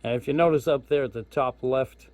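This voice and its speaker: A man's voice: medium pitch, a little fast, a little mellow, no room sound. A man giving a casual hands-on product walkthrough as a hobbyist reviewer, informal and thinking aloud as he goes. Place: indoors at home.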